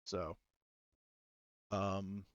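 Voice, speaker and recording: A man narrating a tabletop game as game master, improvising in a halting, hesitant, rambling way. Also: deep voice, little pitch movement, dry recording, lo-fi sound.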